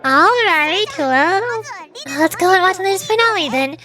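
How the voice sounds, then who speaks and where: A woman's voice: extremely high pitch, lively intonation, a little slow, pushed and bright. A woman reading the dialogue of a game story aloud.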